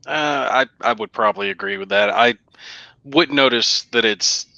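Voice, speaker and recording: Guy from the south cohosting a chat, really bright edgy voice, dry but kinda lo-fi audio. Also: medium pitch, moderate pace, no room sound. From American South. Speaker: co-host, interviewer. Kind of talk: casual interview chat.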